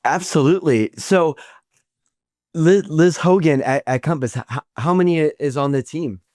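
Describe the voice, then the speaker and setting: A man hosts a podcast interview, his voice a little higher than most men's. He asks his questions haltingly, stumbling and restarting often. The recording is close and dry. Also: darkish tone, no echo. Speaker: podcast host.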